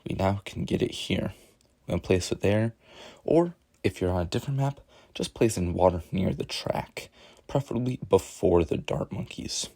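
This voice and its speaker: A man with a rough voice narrating a video game strategy guide, explaining step by step in a casual, joking way. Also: sits low, animated, normal speed, warm tone, dry recording. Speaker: gaming tutorial narrator. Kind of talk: gameplay commentary voice-over.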